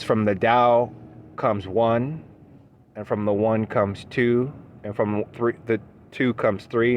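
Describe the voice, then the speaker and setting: A man with a lowish voice talks through an esoteric subject at an unhurried, deliberate pace, often pausing to hunt for the next word. A faint hiss of background noise sits under his voice. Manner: slow, measured, with frequent ums and restarts. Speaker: esoteric channel host. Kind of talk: unscripted solo talk on theurgy.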